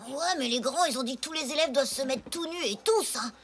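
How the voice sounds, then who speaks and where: A woman's voice: high-pitched, quick pace, lightly acted. A woman voicing an eager, gullible young schoolboy, a loyal best friend in a French cartoon, with music behind. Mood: earnest. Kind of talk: French animated cartoon dialogue. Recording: background music under dialogue.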